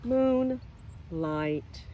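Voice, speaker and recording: A woman teacher reading a picture book to young children outdoors, expressive and asking them questions directly as she explains things simply. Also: on the high side, drawn-out words, slight background noise. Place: outdoors in nature.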